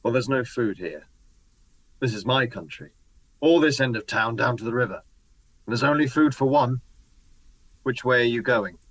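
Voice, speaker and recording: A man's voice: medium pitch, expressive, normal speed, long pauses, fairly bright tone, no echo, rough recording. An actor playing a hardened ex-soldier who has survived an invasion, talking in a brooding, intense way as he lays out his plans in a radio drama. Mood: suspicious. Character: hardened male ex-soldier survivor, scheming and grim. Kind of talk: amateur radio drama acting. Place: home room, recorded remotely.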